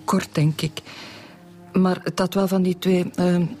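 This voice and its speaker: An actress with a Flemish accent playing a flustered widowed shopkeeper in a radio drama, speaking haltingly and stammering. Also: female voice, on the low side, level tone, a little mellow, faint music behind, close to an everyday voice. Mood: shaken. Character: flustered widowed bakery shopkeeper, recounting a robbery.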